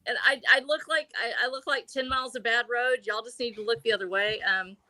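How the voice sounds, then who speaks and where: A woman's voice: on the high side, brisk, pushed and bright, dry recording. A woman in her sixties, a guest on a live video call stream, chatting in a bubbly way and laughing often. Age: 60s. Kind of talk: casual chat on a live stream.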